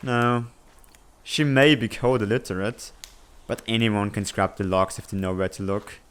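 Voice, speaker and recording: A man playing an earnest, responsible, tech-savvy teenage student in a comic fan dub, speaking in a measured, slightly formal, exasperated way. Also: lowish voice, steady tone, a bit bright, clear, resonant tone, close to an everyday voice. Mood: dry, composed.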